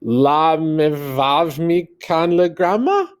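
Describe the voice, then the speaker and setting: A man's voice: a little high, animated, slow, clean tone, pitched above the performer's own voice. A man voicing a nervous, lovestruck seventh-grade boy in a storybook, hesitant and mumbling. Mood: nervous bluffing.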